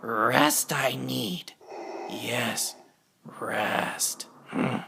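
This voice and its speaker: A man's voice: medium pitch, very slow, vocal fry, noisy background, voice pushed up, heavily acted. A man voicing a tiny ancient wise alien master, sleepy and solemn, with inverted phrasing, for a storybook. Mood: sleepy, slow.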